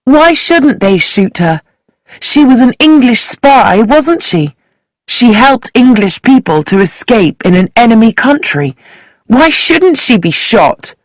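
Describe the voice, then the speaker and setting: A woman voicing a bitter, defiant young woman in a storybook, pitching up for her scornful, passionate outbursts. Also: big pitch swings, takes it slowly, a little mellow, dry recording.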